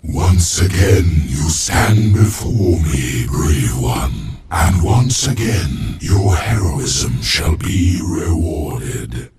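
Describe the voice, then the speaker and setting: Slow, echoing ancient oracle. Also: male voice, a bass voice, very animated, exaggerated voice. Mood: solemn. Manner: solemn, ceremonial. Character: ancient godlike statue oracle, solemn and benevolent. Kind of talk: video game fantasy acting. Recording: echoing, distant voice under loud music. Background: loud music.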